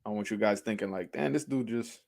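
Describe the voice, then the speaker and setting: A man's voice: fairly low pitch, brisk, gravelly, darkish tone. Laid-back stammering male stream host. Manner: loose, joking, stammers and repeats words, switches to Spanish to translate. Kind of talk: casual live-stream interview.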